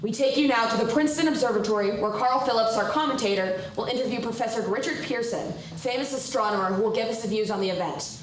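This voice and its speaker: Woman playing a fast, echoey 1930s news announcer. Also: fairly high pitch, a crowd in the background, lightly acted. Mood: formal, urgent. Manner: formal, crisp announcer delivery. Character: urgent, formal 1930s radio news announcer. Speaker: actor. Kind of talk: 1930s radio bulletin acting. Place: live theatre stage. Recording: very echoey, distant live stage recording.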